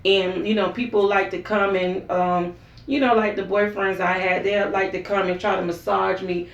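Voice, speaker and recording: A woman in her forties with a gravelly edge to her voice, telling her life story in an earnest, open way. She sits a little back from the microphone in an echoey room, and the sound is somewhat dull. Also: medium pitch, normal speed. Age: 40s. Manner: earnest, storytelling, animated when quoting herself. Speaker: talk show guest. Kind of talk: candid personal interview. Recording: roomy, distant mic, dull sound.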